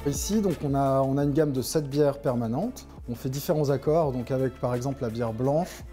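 Male, 30s, craft producer in an explanatory interview. Medium pitch, dark relaxed timbre. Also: normal speed, faint music behind. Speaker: brewery co-manager. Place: indoors in a brewery tasting room.

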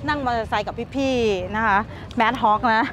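A young woman vlogging casually at an outdoor motorcycle swap meet, chatty and excited, talking into a handheld camera. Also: a little high, a little slow. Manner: chatty, excited, switching between Thai and English. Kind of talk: casual vlog chat.

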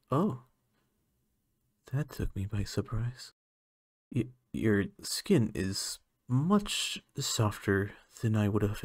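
A man voicing a curious, clinical alien scientist in a soft-spoken ASMR roleplay, measured and formal with hesitant moments. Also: fairly low pitch, no room sound, clean studio sound, heavily acted.

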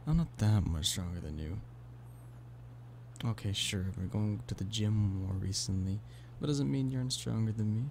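A man's voice: on the low side, moderate pace, creaky. A man playing an affectionate, playful boyfriend in an ASMR roleplay, teasing and pleading in an intimate one-sided conversation. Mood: playful challenge. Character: playful, affectionate human boyfriend coaxing a stubborn cat-person. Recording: steady background noise of rain. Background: rain.

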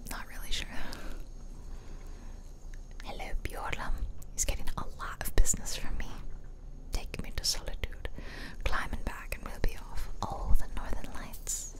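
A woman's voice: measured pace, poor audio. A woman whispering casual, musing ASMR commentary close to the microphone as she plays a game. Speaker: game streamer. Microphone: close microphone, ear-to-ear whispering.

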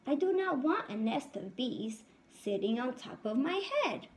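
A woman plays a crafty, bothered little storybook mouse. He speaks slowly in a high, swooping voice, politely and with deliberate emphasis. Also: sing-song, exaggerated voice. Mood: firm, pleading. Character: upset but crafty male mouse, politely bargaining.

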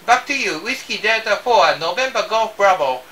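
An older man from Japan with a Japanese accent makes a ham radio contact, speaking haltingly, repeating words and spelling with the phonetic alphabet. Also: high voice, lively intonation, pushed and bright, recorded from a distance, slight background noise, poor audio. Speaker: amateur radio operator. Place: small indoor radio desk.

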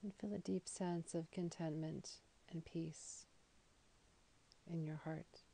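A woman leading a guided meditation in a soothing, deliberate manner, with phrases that trail off softly. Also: low-pitched, steady tone, low-quality recording. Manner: soothing, slow and deliberate with soft, breathy phrase endings. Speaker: yoga nidra guide.

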